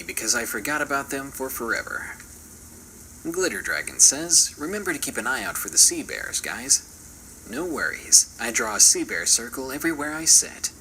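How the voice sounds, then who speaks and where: A man's voice: mid-range voice, sharp tone, a little hiss or hum, rough recording. A man doing scripted, theatrical narration for a horror channel in a playful, dramatic manner.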